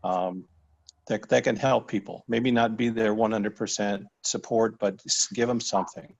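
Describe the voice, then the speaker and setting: A caregiver man with a low voice and heavy creak speaks on a support call. His talk is casual and personal, coming out in halting phrases. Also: steady tone, a little mellow, dull audio. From Minnesota, United States.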